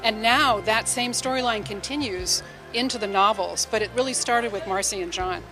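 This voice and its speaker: A woman's voice: mid-range voice, normal speed, rough recording. Enthusiastic woman telling stories, music behind. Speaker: novelist and radio drama writer, interview guest. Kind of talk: conversational interview storytelling.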